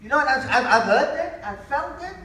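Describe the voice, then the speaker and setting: A man's voice: very high-pitched, expressive, brisk, pushed and bright, slight background noise. A man speaking on a discussion panel, impassioned and emphatic, often restarting his sentences. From South Africa. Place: hall at a panel event. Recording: echoey room, mic far off.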